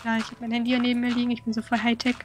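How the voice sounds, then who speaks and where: A woman's voice: fairly high pitch, monotone, very fast, faint music behind. A young woman chatting playfully and teasingly as co-host of a gaming video. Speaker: Let's Play co-host. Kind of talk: casual joking gameplay chat.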